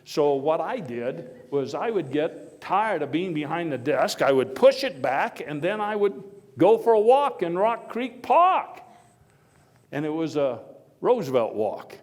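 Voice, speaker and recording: A man playing a hearty, opinionated former American president, telling his stories to an audience in a halting, wry and emphatic way. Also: fairly high pitch, big pitch swings. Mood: vigorous, emphatic. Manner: halting, storytelling, wry chuckles, emphatic bursts. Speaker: living-history performer giving a talk and taking audience questions. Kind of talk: first-person historical dramatization before an audience. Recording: echoey hall with long reverb.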